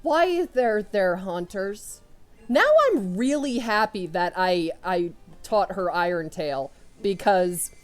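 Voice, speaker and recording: A young woman commentating a video game run, loud and excitable, talking to her characters as she plays. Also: mid-range voice, takes it slowly, soft background music. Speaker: let's player. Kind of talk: casual gameplay commentary.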